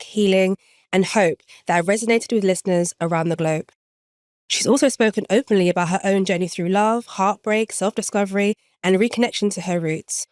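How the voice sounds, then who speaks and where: A woman's voice: medium pitch, darkish tone, no echo, clean studio sound. Woman reading a formal guest introduction. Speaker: podcast co-host.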